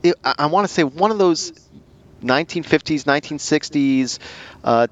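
A man's voice: a little high, expressive, normal speed. Man podcasting in slightly dull audio. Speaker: podcast host. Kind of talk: casual podcast discussion.

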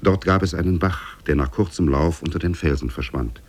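A man narrating a fairy tale for 1950s German radio drama in a measured, solemn storytelling manner. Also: low-pitched, a little mellow.

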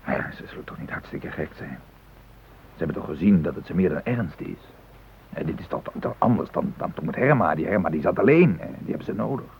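An actor playing a practical, caring male hostage in a radio drama, talking in a measured, reasoning way. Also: low-pitched, lively intonation, lo-fi sound, slight background noise. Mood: concerned. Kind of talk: naturalistic radio drama acting.

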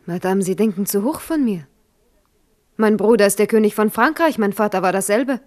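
An actress playing a nervous young princess in a 1970s radio play, talking in a hushed, anxious way. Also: female voice, mid-range voice, expressive, moderate pace, lightly acted. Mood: earnest. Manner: hushed, anxious, drops to whispers.

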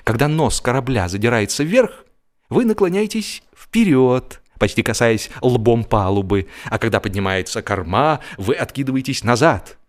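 A man's voice: medium pitch, sing-song, normal speed. A man narrating a humorous story expressively, in an ironic, mock-serious manner.

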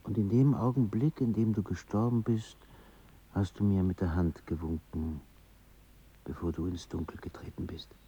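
Reflective son, slightly muffled. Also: male voice, deep voice, fairly even intonation, moderate pace, dark tone, slightly rough. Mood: subdued, tender. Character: grieving son, foreign traveller recalling his father's death. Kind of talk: radio drama acting. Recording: slightly dull sound.

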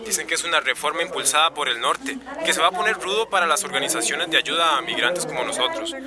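A man's voice: on the high side, animated, fast talker, natural voice. Casting: male actor as worried campaigner, earnest, raised register, radio drama, city crowd in background. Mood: worried, urgent. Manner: anxious, earnest. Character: worried city migrant-aid activist, a man.

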